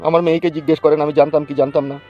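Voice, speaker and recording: A man's voice: fairly high pitch, flat delivery, very fast, highs cut off, soft background music, close to an everyday voice. A man voicing an aggrieved middle-aged village father in a comic cartoon, formal and earnest, with a West Bengal accent. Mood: pleading.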